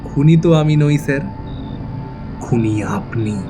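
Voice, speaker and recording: A man plays a vengeful young man in a thriller drama. His medium-pitched voice stays controlled and mock-polite, full of taunting menace. Loud dramatic music plays underneath. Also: expressive, slow pace. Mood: cold accusation. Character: cold, taunting young avenger of his dead father. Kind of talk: dramatic audio thriller acting.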